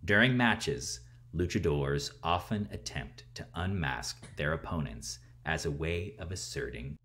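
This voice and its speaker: A man in his thirties reading a picture book aloud and explaining things to children in a theatrical, expressive way. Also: extremely low pitch, unhurried. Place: small room at home, indoors.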